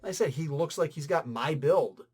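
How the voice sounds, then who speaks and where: A man's voice: a little high, expressive, moderate pace, darkish tone, slightly rough. A man giving a casual, opinionated review as a host, speaking emphatically and conversationally with sudden exclamations and asides. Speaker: comic book reviewer and channel host.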